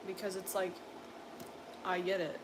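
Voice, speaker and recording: A young woman from coastal North Carolina with a deep voice, chatting casually on a livestream and answering viewers' comments with lots of filler words. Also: level tone, a little slow, background noise. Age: young adult. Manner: rambling, filler-heavy, exasperated. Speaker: livestream host.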